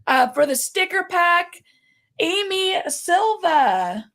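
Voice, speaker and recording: A woman with a raspy but high voice hosts a live podcast stream. She talks in a bubbly, rambling way, full of fillers and little laughs. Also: very animated, slow pace, fairly bright tone. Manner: bubbly, chatty, many fillers. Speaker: podcast host. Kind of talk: casual live-stream chat.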